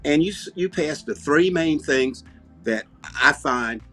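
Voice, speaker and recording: An older man with a Southern US accent and a husky voice, chatting in a folksy, rambling storytelling way with down-home grammar. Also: on the high side, animated, a little slow, a bit bright, soft background music. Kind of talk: casual bantering chat.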